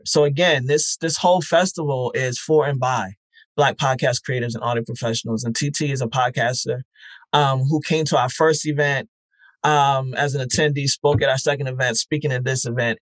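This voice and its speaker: Earnest man speaking haltingly, close dry sound. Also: medium pitch, dry recording. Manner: earnest, halting, repeats words as he thinks. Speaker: interview guest, festival founder. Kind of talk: reflective conversational interview.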